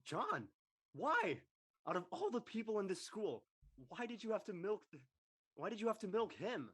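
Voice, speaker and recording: A man's voice: high voice, sing-song, moderate pace, fairly bright tone, husky, exaggerated voice. Brash teasing teenage boy, played by a man. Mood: aggrieved. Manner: mocking, blunt. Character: brash teasing high-school boy, image-obsessed would-be athlete. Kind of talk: amateur audio drama table read.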